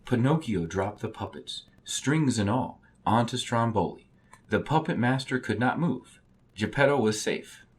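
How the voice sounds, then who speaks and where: A man's voice: mid-range voice, lively intonation, a little slow, breathy edge. A man narrating a children's story as a storybook reader.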